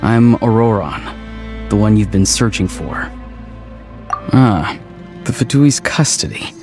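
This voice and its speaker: Mystical young man, lowish voice, gentle, deliberate, apologetic, polite, video game, faint music. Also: lively intonation. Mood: apologetic, calm.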